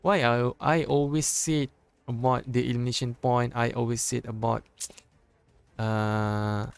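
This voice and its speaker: A young man from Malaysia with a Malaysian accent, a Malay native speaker casting a live mobile esports match in English, chatty and joking, with halting phrasing and frequent restarts. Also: medium pitch, little pitch movement, creaky, smooth. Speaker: esports caster. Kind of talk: live esports game commentary.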